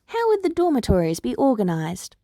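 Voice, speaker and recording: A woman's voice: on the high side, wildly swooping pitch, fairly quick, dark tone, no echo, clean studio sound. A woman voicing a cheerful, curious male animal school principal, genial and musing, in a story reading.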